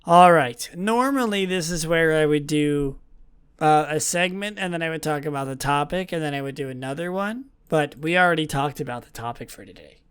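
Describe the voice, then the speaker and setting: A young man hosting a podcast, his voice worn and hoarse from talking all day, rambling casually and correcting himself often with dry sarcasm. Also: on the high side, moderate pace, a bit bright. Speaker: podcast host. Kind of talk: casual solo podcast talk.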